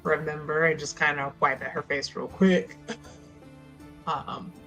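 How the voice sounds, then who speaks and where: A woman with a bright, forward voice narrates her character in a tabletop roleplaying game. She takes her time and rambles informally, swearing freely. Quiet music runs behind her. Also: low voice, steady tone, unhurried, rough recording. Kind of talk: casual improvised character narration.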